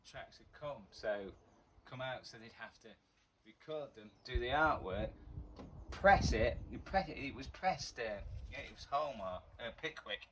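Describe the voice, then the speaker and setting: Older British man, casual rambling vlog chat, middling pitch, clear outdoor noise behind. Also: expressive, a little slow, a bit bright, low-quality recording. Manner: rambling, reminiscing, many fillers. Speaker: vlogger. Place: outdoors in a garden.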